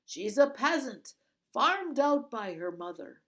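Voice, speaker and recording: A rough-bearded male guard who waves off his partner with scoffing questions, loud and mocking, voiced by a woman reading a story. His dismissive tone runs through every line. It is a storybook reading. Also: fairly high pitch, big pitch swings, slow pace, pitched above the performer's own voice, exaggerated voice.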